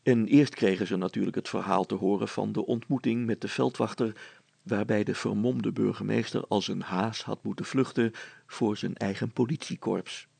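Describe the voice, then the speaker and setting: Gravelly male storyteller, dry close sound. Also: on the low side, fairly even intonation, normal speed, darkish tone, no echo. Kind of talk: scripted children's story narration.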